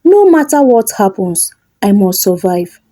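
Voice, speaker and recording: A woman's voice: mid-range voice, big pitch swings, measured pace, dark tone, dry recording, studio quality. A woman voicing a determined, hopeful, earnest orphan village girl in a folktale. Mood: resolute.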